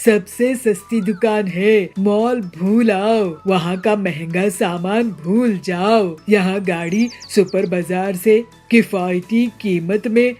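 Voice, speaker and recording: Supportive cartoon husband played by a woman, practical animal shopkeeper, medium pitch, plain-spoken. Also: slow pace, hardly any pauses, warm tone, soft background music, lightly acted. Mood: promotional.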